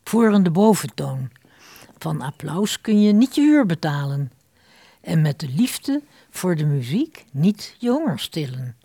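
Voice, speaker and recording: A woman presenting a scripted introduction to a radio drama in a measured, expressive manner. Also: deep voice, creaky, breathy edge, no echo.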